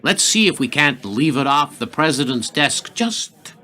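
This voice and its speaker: A man plays a hawkish senior government aide in a radio drama. He is deliberate and calculating, with commanding authority and pitch that moves widely over a mid-range voice. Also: big pitch swings, sharp tone. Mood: guarded, pragmatic. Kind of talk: radio play acting.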